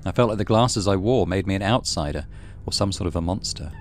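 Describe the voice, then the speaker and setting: A man reading a horror visual novel aloud as dramatic, measured narration. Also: sits low, a little hiss or hum. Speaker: gaming channel host reading visual novel. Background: music.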